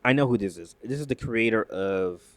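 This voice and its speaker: A man reacting to news in casual commentary, excitable and rambling, full of fillers and restarts. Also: fairly low pitch, fairly quick, dry recording. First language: not Japanese. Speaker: YouTube commentator.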